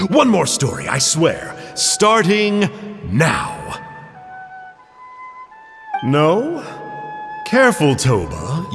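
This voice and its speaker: A glib, sly wanderer from a video game, teasing like a rascally uncle. His fairly high voice leaps up and down in theatrical arcs, at a leisurely pace, ringing in long reverb. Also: fairly bright tone, exaggerated voice. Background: music.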